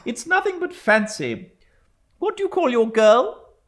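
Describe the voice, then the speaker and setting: Guy putting on a shrill bossy old auntie, barks orders, loud and sharp. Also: very animated, measured pace, exaggerated voice. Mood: imperious. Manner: commanding, clipped, indignant. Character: stern, imperious elderly aunt, brisk and domineering.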